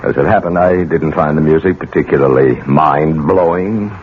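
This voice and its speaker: An actor playing a mild, educated middle-aged professor in a 1970s radio drama, speaking formally and haltingly. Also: male voice, very deep voice. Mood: stiff, defensive. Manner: halting, repeats words, formal diction. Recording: tinny, noisy 1970s radio broadcast recording.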